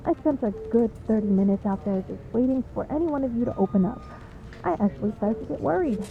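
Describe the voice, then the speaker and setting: A woman's voice: fairly high pitch, fast talker, gravelly, narrow, tinny audio, background noise. A woman playing a cheeky, smug female villain in a comedic audio roleplay, with breezy, sarcastic teasing. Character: cheeky, smug woman supervillain, frequent hospital patient.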